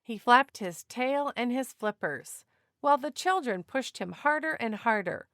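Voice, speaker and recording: A woman narrating a children's picture book aloud in an expressive, deliberate storytelling manner. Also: on the high side, no echo, clean studio sound.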